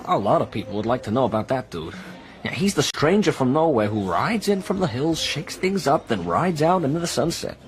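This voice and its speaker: An actor playing a fat, wisecracking, streetwise American in New York, slangy and knowing, in a radio drama. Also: male voice, medium pitch, big pitch swings, a little fast, close to an everyday voice. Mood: knowing, confiding.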